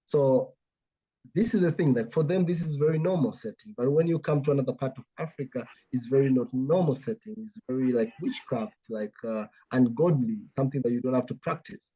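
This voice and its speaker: A man from Tanzania, speaking as an artist in an earnest, reflective way and often pausing to search for words. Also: medium pitch, mellow, slightly rough, poor audio. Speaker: artist guest. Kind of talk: artist talk, reflective explanation.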